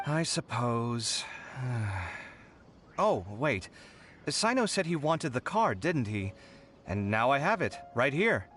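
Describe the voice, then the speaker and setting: Weary, expressive young game hero. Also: male voice, medium pitch, slow pace, a little hiss or hum. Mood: tired. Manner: hesitant, sighing, earnest. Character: weary, principled young male genius and reluctant champion. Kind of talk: video game voice acting.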